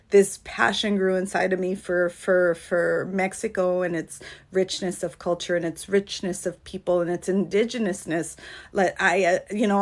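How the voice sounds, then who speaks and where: Earnest rambling woman on a phone livestream. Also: medium pitch. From California, USA. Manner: rambling, earnest, heavy on fillers like "um" and "like". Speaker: dance choreographer and coach. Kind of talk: casual livestream chat.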